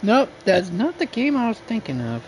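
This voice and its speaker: A man livestreaming a video game, chatting casually in a halting, rambling way. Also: sits high, wildly swooping pitch, slight background noise, rough recording. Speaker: game streamer. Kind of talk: casual livestream chat over gameplay.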